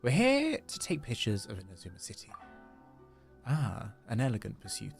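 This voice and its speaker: A man's voice: mid-range voice, wildly swooping pitch, moderate pace, gravelly. A man chatting casually and playfully as he livestreams a video game, sometimes reading dialogue aloud. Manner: playful, chatty, repeats phrases. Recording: game music behind the voice. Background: video game music.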